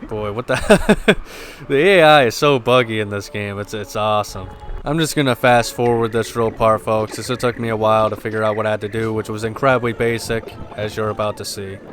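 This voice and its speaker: A man hosting a gameplay video, mixing casual commentary with lore read aloud, in a somewhat halting delivery. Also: lowish voice, a bit bright, slight background noise. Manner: halting, stumbles while reading aloud. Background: game music.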